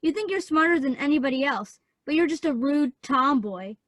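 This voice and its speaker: A spirited younger sister in a radio play, acted by a woman, with a very high voice. She is petulant and sarcastic, artistic and quick to take offence. The sound is close and dry. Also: expressive, a bit bright, no echo. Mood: hurt, angry. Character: sulky, spirited young artist girl, sharp-tongued little sister.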